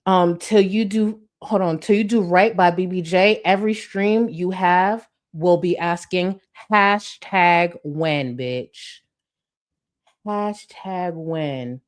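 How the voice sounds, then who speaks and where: Sarcastic woman with a low voice. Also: takes it slowly. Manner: sarcastic, profane, mocking. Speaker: commentary streamer host. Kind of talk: live-stream commentary.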